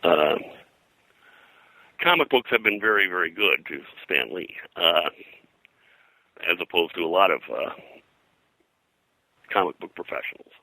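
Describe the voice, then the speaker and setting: An older man talking in a rambling interview style, halting and digressive as a guest historian. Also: low voice, expressive, bright, forceful tone, no echo, poor audio. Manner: halting, digressive, frequent "you know". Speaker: guest, pop culture historian.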